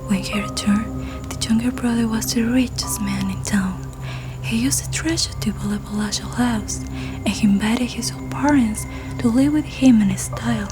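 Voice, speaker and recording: A woman's voice: fairly high pitch, rough recording. Whispering woman telling a folk tale over music. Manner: soft, hushed whispering, unhurried. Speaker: storyteller.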